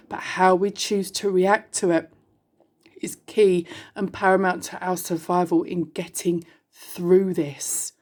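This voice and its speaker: A woman's voice: fairly low pitch, a little mellow. A British woman in her forties, from the UK, speaking bluntly to her viewers in a candid monologue, full of rhetorical questions and the odd swear word. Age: 40s. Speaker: vlogger.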